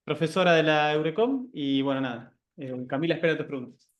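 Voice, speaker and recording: A man moderating a thesis defense introduces a juror in an informal, halting way. His voice is fairly high for a man and comes through muffled and lo-fi. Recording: dull lo-fi sound.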